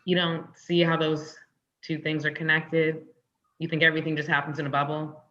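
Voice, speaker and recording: Actress as sardonic woman, forceful lower voice. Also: little pitch movement, moderate pace, muffled recording. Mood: combative, sardonic. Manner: sardonic, pointed. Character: sarcastic, combative younger woman sparring with father figure. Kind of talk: comedy script table read.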